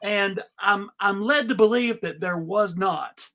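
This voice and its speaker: Bright, creaky-voiced man reading slowly. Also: high voice. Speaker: panel reader and presenter. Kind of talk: informal live script reading with asides.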